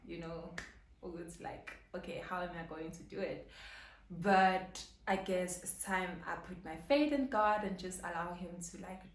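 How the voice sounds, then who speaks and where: A woman's voice: fairly low pitch, a little slow. A young woman vlogging in casual chat to camera, earnest and rambling with lots of fillers. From South Africa, KwaZulu-Natal. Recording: roomy echo, faint background hum.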